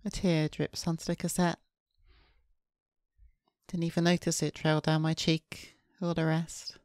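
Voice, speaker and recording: A woman streamer reading visual novel narration aloud in a subdued, measured way. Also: sits low, level tone, darkish tone, no echo, clean studio sound. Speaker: VTuber streamer. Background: faint game music.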